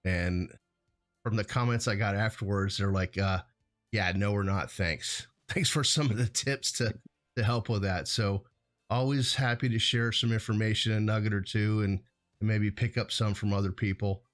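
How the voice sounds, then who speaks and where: Male show co-host, lower register, conversational and rambling, self-correcting delivery. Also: steady tone, normal speed. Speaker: co-host of a hazardous materials show. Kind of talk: casual co-host chat.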